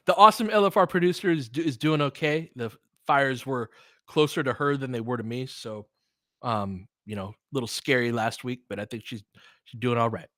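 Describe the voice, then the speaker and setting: An American man with a mid-pitched voice talks sports off the cuff in a close, dry recording. He is candid and opinionated, leaning on his points emphatically as he chats with listeners. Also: normal speed, bright, forceful tone, no echo. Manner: candid and opinionated, swears freely. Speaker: sports livestream host. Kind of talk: casual, unscripted sports commentary chatting with listeners.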